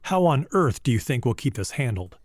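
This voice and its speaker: A man's voice: mid-range voice, sing-song, brisk, no room sound, studio quality. A man playing a fussy, stern company executive in an audio drama, argumentative and condescending. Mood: indignant.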